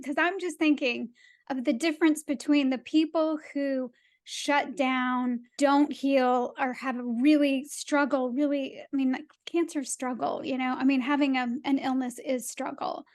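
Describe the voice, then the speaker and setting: A woman hosting a podcast in casual conversation, with a curious, informal and affirming way of talking. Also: high-pitched. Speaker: podcast host.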